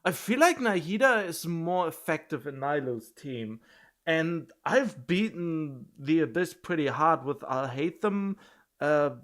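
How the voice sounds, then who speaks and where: A man's voice: fairly high pitch, fairly bright tone. A man livestreaming a video game, chatting casually and thinking aloud in a rambling, musing way, often correcting himself.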